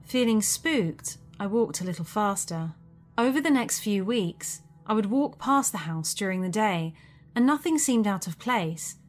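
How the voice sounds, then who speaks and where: A woman's voice: mid-range voice, lively intonation, unhurried, soft background music. A woman narrating a spooky first-person story from a script. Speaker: narrator of a creepy true story.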